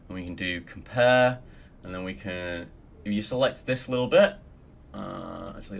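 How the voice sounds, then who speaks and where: Young Australian man, lowish voice, offhand and rambling, faint hum under the mic. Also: vocal fry. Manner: rambling and offhand, frequent ums, casual swearing. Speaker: guild member teaching raid logs. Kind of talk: casual screen-recorded tutorial.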